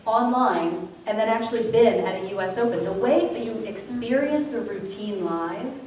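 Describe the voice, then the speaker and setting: A woman co-hosting a talk about dance before a live audience, explaining in a steady way. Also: mid-range voice, normal speed, heavy reverb, recorded from a distance, slight background noise. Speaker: dance instructor and show co-host. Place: event room with a live audience.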